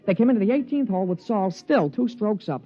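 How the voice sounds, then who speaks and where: An actor playing an excitable, loyal young American golf caddy who tells the story in a folksy, colloquial 1950s radio drama style. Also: male voice, high voice, fast talker. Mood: colloquial, keen. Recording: muffled old radio recording, music underneath.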